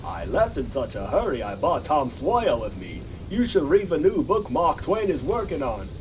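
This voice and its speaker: A man's voice: on the high side, sing-song, normal speed, distant mic, slight background noise, rough recording. A man playing a cheerful, quaintly polite guardian angel in a comic radio play, chatty and earnest.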